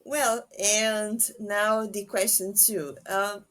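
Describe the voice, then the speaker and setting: Slow-talking woman hosting a casual interview. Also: mid-range voice, flat delivery, fairly bright tone. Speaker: podcast interviewer, host.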